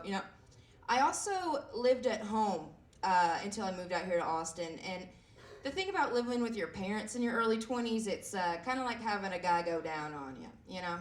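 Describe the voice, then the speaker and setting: A woman in her twenties with a raspy voice, performing a self-deprecating stand-up set with lots of fillers and halting restarts. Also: medium pitch, lively intonation. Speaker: stand-up comedian. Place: comedy club stage. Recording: echoey room heard from a distance.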